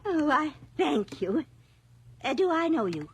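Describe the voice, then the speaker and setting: A woman's voice: high voice, big pitch swings, unhurried, noisy background, heavily acted. An actress playing a sweet, chatty, forgetful elderly village lady in a radio drama, rambling cheerfully. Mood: delighted, chatty. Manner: rambling, fluttery, cheerful hostess.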